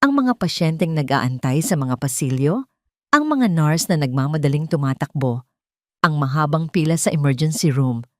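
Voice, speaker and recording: A Filipino woman narrates a dramatic audio story in a low voice. Her delivery is solemn and emotive, as scripted first-person storytelling. The sound is dry and close, with no room around it. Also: expressive, moderate pace, a little mellow, no room sound.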